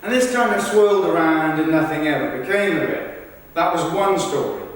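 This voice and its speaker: A man with a fairly high voice performs a rehearsed documentary-style stage monologue. He is well away from the microphone, and the large room wraps his words in heavy echo and long reverb. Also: lively intonation, moderate pace. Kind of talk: rehearsed verbatim theatre monologue. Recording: distant, very echoey rehearsal hall.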